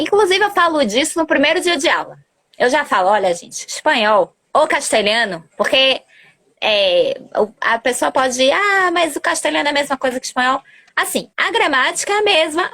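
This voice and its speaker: Woman teacher rambling through an explanation on a video call, fairly high voice, keeps correcting herself. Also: a bit bright. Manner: chatty, explanatory, with self-corrections. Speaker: language teacher. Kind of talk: casual live-stream chat.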